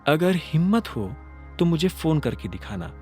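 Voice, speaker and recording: A man's voice: a little high, big pitch swings, measured pace, dark tone. A man voicing a cold, strict young woman with a haughty, curt manner, in an audio drama. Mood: accusing, daring. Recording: music under the voice.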